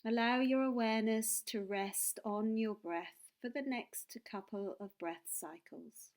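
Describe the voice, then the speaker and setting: A woman leading a guided meditation as a teacher, speaking in a calm, soothing and deliberate way with pauses between phrases. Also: a little high.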